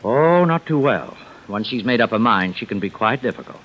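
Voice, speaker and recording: An actor playing an evasive, guilty schemer in 1950s radio drama, halting, uneasy and falsely smooth. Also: male voice, lowish voice, animated. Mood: uneasy, polite. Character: evasive, nervous male schemer hiding his guilt. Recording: muffled, noisy vintage radio recording.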